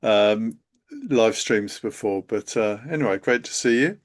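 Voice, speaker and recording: A man hosting a live webinar over a video call, chatty and halting, with many fillers. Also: lowish voice. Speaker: live stream host, accountant. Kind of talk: casual live webinar talk.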